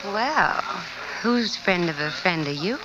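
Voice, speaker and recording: An actress playing a flirtatious, worldly American party hostess in a 1940s radio drama. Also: female voice, on the low side, lively intonation, slow pace. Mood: flirty, breezy. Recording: noisy old radio recording.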